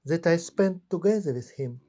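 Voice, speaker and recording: A man speaking a dubbed documentary voice-over in a slow, solemn reading. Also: on the high side, expressive, dark tone. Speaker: voice-over translator of an interviewee.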